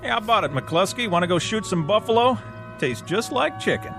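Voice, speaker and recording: An actor playing a teasing, wisecracking National Guard corporal. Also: male voice, high-pitched, lively intonation, a little fast, creaky, over music.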